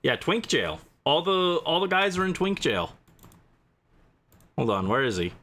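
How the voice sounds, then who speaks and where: A man's voice: on the high side, very animated, unhurried, sharp tone. A man hosting a gaming livestream, chatting casually and playfully as he responds to his viewers. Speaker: livestream host.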